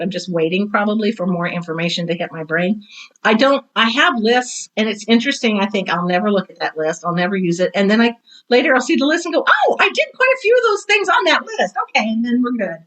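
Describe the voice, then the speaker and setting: A woman in her sixties with a bright, crisp-edged voice at a medium pitch. She talks casually, as on a podcast, and animatedly voices the little quotes in her stories. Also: lively intonation, a little fast, rough recording. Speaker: retired teacher and author talking on a podcast. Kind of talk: casual conversational podcast chat.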